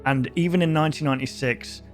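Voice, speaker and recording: A man's voice: fairly high pitch, fast, faint music behind. Rambling man thinking aloud. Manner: thinks aloud, rambling with many fillers and restarts. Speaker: host reacting to videos. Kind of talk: casual reaction commentary.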